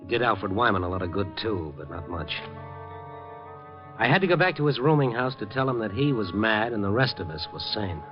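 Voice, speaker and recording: An earnest, world-weary radio hero. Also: male voice, fairly low pitch, big pitch swings, brisk. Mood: wry. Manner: earnest, pointed, wry. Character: compassionate, world-weary man who stands up for misfits. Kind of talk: 1950s American radio drama acting and narration. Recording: muffled 1950 radio broadcast, faint music.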